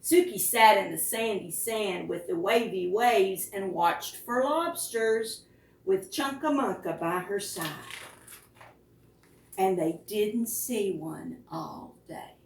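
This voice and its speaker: A woman reading picture books aloud to preschoolers as a storytime host, with expressive, deliberate phrasing and questions to the children. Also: mid-range voice, vocal fry. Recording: roomy, echoing, distant microphone.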